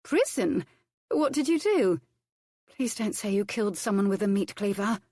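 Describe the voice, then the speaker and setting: A woman voicing a proud, sharp-tongued young noblewoman with crisp, dry retorts in an audiobook. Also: medium pitch, flat delivery, brisk, dry recording, close to an everyday voice. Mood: curious.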